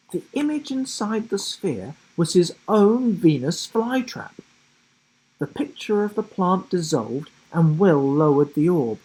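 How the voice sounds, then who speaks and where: A man narrates a children's adventure storybook in an expressive, theatrical way. His pitch moves very freely and his pace is slow, with a slightly rough voice. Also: poor audio. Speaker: story reader. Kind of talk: dramatic scripted storybook narration.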